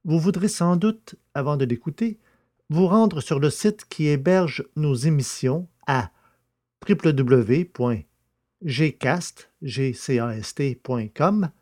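A man hosting a podcast and narrating a story in a scripted, deliberate manner. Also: fairly high pitch, expressive, darkish tone.

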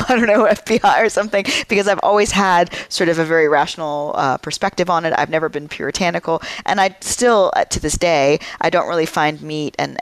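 A woman with a gravelly edge to her voice, explaining her ideas as a podcast guest in a thoughtful, articulate way. The sound is dry and close, with no room echo. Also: deep voice. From United States. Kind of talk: podcast interview.

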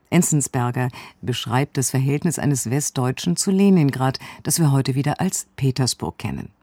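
Radio presenter, scripted narration, medium pitch, soft mellow voice, close dry sound. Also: no room sound. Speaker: radio presenter introducing an audio drama.

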